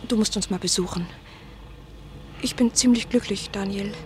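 An actress playing a nervous married woman reunited with an old friend, speaking in a hurried, anxious way. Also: medium pitch, a little mellow, lightly acted. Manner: hurried, anxious, breathy at times. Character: Eva, a nervous, married woman from his past. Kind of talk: radio play acting.

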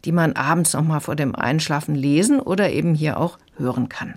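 A woman's voice: sits low, a little fast, darkish tone. A woman reviewing books in a conversational radio discussion style. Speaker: book reviewer.

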